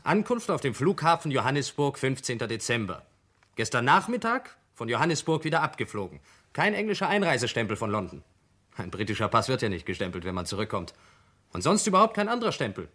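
An actor playing a self-assured nephew and heir under suspicion in a German radio crime play, indignant and emphatic. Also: male voice, mid-range voice, big pitch swings, quick pace, a bit bright. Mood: defensive, indignant.

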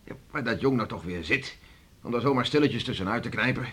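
An actor playing a hearty, gruff farmer and beachcomber father in a Dutch radio drama, talking in a bluff, teasing way. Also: mid-range voice, animated, brisk, a bit bright. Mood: bluff, easygoing. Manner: bluff, teasing, chuckling, colloquial.

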